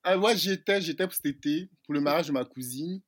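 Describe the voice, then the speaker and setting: A man's voice: fairly high pitch, quick pace, no echo. Twenty-something guy from ivory coast on a podcast, laughs a lot, casual slangy chat, dry sound. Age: 20s. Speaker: podcast guest.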